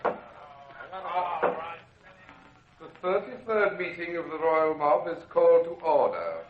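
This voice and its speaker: An actor playing a suave, formal, pompous crime boss in an old radio drama, coolly scheming in a theatrical manner. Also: male voice, a little high, noisy background. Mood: officious. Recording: dull vintage radio recording.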